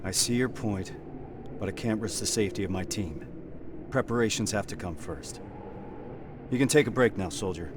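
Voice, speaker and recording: An actor playing a stern, dutiful resistance squad sergeant with a commanding military manner in a video game. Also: male voice, fairly low pitch, moderate pace, noisy background, lightly acted. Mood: firm, weary. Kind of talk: dramatic cutscene acting. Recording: game music behind the voice.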